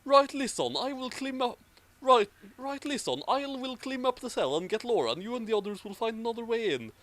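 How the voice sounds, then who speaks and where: A man's voice: extremely high pitch, sing-song, brisk, a bit bright, dry recording, pitched above the performer's own voice, full character voice. A man voicing an earnest, urgent male elf hero in an amateur fantasy story reading.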